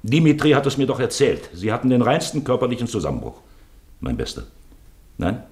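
An actor in a radio play as a sly, probing police investigator who wheedles with feigned courtesy. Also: male voice, mid-range voice, wildly swooping pitch, a little fast, darkish tone. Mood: mock apologetic. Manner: wheedling, insinuating, falsely apologetic. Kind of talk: German radio play acting.

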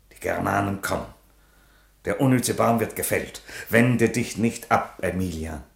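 A deep, grave Roman emperor. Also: male voice, vocal fry, reverberant. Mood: grave, accusing. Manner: solemn, declamatory, from whispers to thunder. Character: grave, defiant Roman emperor, fatherly, solemnly judging. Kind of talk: theatrical radio drama acting.

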